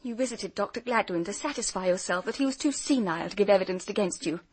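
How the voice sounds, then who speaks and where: An actress playing a determined, earnest young private detective in a radio drama, direct and accusing. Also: female voice, medium pitch, expressive, fast talker, a little mellow, husky. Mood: probing.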